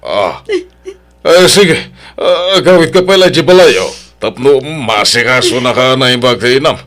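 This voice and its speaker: A man's voice: medium pitch, animated, a little slow, a bit bright. A man with an Ilocano accent playing an evasive, penny-minded husband in a melodrama. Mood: agitated, evasive. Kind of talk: Ilocano radio-style melodrama acting.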